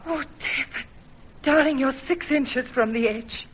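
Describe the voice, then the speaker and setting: An actress playing an affectionate, anxious young sweetheart with a tender, theatrical old radio drama delivery. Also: female voice, high voice, unhurried. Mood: worried. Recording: muffled, faintly noisy old radio.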